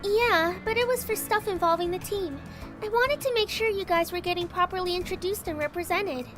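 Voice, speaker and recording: Sweet modest anime game girl, super high voice, music clearly playing behind her. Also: fairly quick. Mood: shy, sweet. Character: sweet modest teenage girl, helpful team manager. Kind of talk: voiced visual novel game acting.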